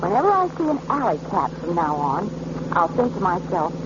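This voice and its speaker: Young heroine: plucky, melodramatic, very animated, golden-age radio drama with heavy noise. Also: female voice, fairly low pitch, a little fast. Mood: relieved, wry. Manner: melodramatic, emphatic. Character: frightened young heroine, plucky, wry, urgent. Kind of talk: vintage radio drama acting. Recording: muffled old radio, loud noise.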